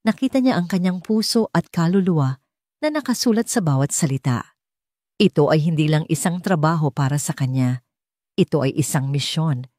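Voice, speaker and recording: Low-pitched Filipino woman narrating romance audiobook. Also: warm tone, no echo, studio quality. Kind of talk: scripted dramatic romance story narration.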